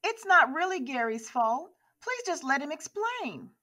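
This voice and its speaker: A woman's voice: high voice, very animated, measured pace, bright, forceful tone, exaggerated voice. A woman playing a placating middle-aged girlfriend in an audio drama. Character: soothing middle-aged girlfriend of a jailed man.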